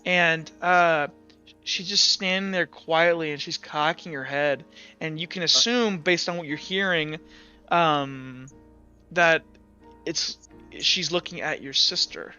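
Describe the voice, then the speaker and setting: A man running a tabletop roleplay as game master, narrating in a halting, improvised, descriptive way. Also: a little high, sharp tone, clean tone, dull audio, soft background music.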